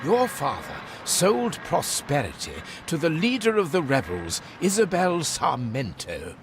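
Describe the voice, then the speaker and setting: An actor playing an earnest, refined gentleman official with a formal, expressive delivery in a video game. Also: a little high, unhurried, background noise, full character voice. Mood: concerned. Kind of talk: dramatic video game dialogue.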